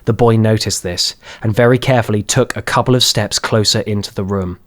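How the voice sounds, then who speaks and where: A man's voice: lowish voice, steady tone, a little fast. A man reading scripted fantasy horror as an audiobook narrator, in a dramatic storytelling style.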